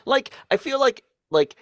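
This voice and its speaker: Slow, halting man, dry close mic. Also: very high-pitched, a bit bright, dry recording. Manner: halting, many fillers and restarts, laughs often. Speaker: podcast co-host. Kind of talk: casual chat.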